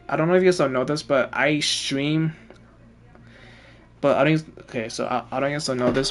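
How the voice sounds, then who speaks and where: A man livestreaming a game with casual, rambling, repetitive commentary. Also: medium pitch. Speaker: game streamer.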